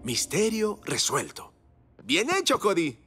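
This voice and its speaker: Nerdy excited scientist dad, high swoopy voice, cartoon dub. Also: male voice, slow pace, slightly rough, soft background music, exaggerated voice. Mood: satisfied. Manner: expressive, musing, professorial. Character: enthusiastic, scholarly inventor father.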